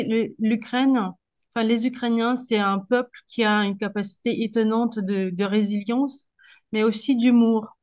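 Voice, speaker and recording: Slow-talking woman from Moldova, halting delivery. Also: a little high, darkish tone. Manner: halting, searching for words. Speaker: guest speaker, association founder. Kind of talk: public debate interview, spontaneous speech.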